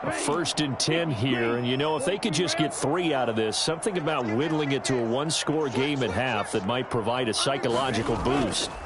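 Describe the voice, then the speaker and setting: A man's voice: medium pitch, fairly quick, few pauses, heavy background noise. A man giving scripted play-by-play commentary for a football video game.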